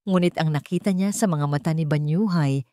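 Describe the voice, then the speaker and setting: Female narrator for dramatic audio fiction, lowish voice, dry close sound. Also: a little fast, mellow, no echo. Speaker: story narrator.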